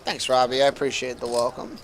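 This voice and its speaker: A man's voice: mid-range voice, little pitch movement, brisk, slight background noise. An American man giving casual live poker commentary as a guest, easygoing and chatty.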